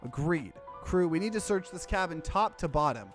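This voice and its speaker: A decisive female team leader from a visual novel, read by a man, speaks in a firm, matter-of-fact way. The voice is high for a man, lively in pitch, over game music. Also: moderate pace, natural voice. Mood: commanding.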